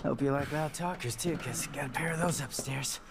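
A man's voice: mid-range voice, a little fast, creaky, noisy background, close to an everyday voice. An actor playing a brash, cocky, casual young man in a video game cutscene. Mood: easygoing. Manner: casual, informal, impulsive.

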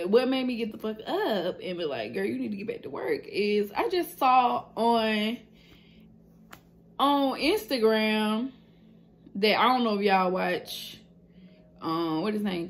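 A woman's voice: mid-range voice, a bit bright. A woman talks candidly to her phone camera. Her chat is loose and slangy, full of fillers. She is in a small indoor room with the phone held close. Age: 20s. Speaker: nail business owner and vlogger. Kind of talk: casual vlog chat to camera.

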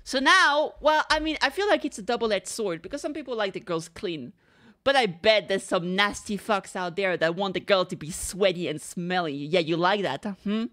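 A young woman streamer giving casual, expressive, joking reaction commentary full of slang. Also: mid-range voice, normal speed.

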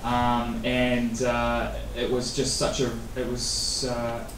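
A man's voice: medium pitch, completely flat delivery, slow, a bit bright, a little hiss or hum. Man talking from far off in an echoey room. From New Zealand. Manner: rambling with many fillers and restarts. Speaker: guest speaker. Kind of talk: casual interview answers at a meetup. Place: event venue.

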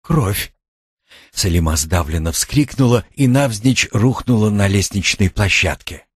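A man narrating a suspense thriller audiobook in a measured, dramatic storytelling manner. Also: fairly low pitch, animated, husky, no echo, clean studio sound.

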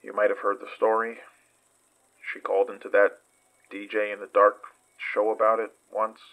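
An actor playing a guarded male investigator in an audio drama, recounting a dangerous night in a measured, halting way. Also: fairly low pitch, monotone, phone-quality sound, lightly acted.

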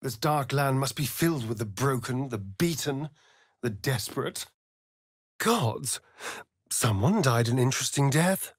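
A flamboyant British vampire elf from a fantasy game. His delivery is slow and drawn out, with pitch that swoops dramatically through each phrase. He sounds vain and sardonic, recorded close and dry. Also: expressive, husky, no room sound. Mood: arch, mocking. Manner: arch, drawling, mocking, dramatic. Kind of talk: theatrical fantasy video game acting.

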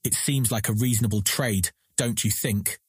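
An actor playing a captured thief, earnest, proud and eloquent, speaking formally and measuredly in a fantasy audio drama. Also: male voice, lowish voice, normal speed, husky, no room sound, clean studio sound. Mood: earnest pleading.

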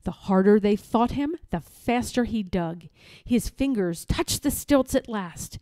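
A woman reading a children's story aloud in a deliberate, expressive, dramatic style. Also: medium pitch, dry recording, clean studio sound. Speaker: storybook reader.

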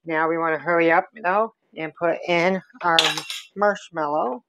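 A woman's voice: lowish voice, steady tone, a little slow, a bit bright, no echo, highs cut off. A woman walking through a recipe step by step in her home kitchen, chatting as she stirs. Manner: chatty step-by-step explaining while stirring. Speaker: home cook. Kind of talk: casual cooking demonstration. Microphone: camera on counter.

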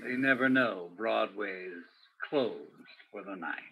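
A man playing a brooding, world-weary Broadway police detective in a radio play, with a measured, pensive, dramatic delivery. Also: on the low side, creaky, a little hiss or hum. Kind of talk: radio play acting with poetic noir narration. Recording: dull, muffled lo-fi audio.

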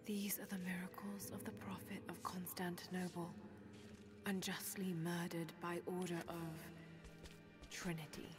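An actress as a young woman archaeologist in a cinematic video game. She is earnest and determined, speaking lowish and hushed as if to herself over game music. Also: flat delivery, a little slow, natural voice. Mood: hushed musing.

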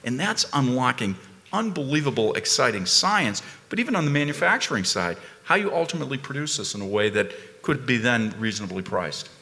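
A man speaking on a conference panel, emphatic and animated, repeating phrases as he builds a point. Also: mid-range voice, a little fast, in a large hall. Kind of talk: panel discussion. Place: conference ballroom stage.

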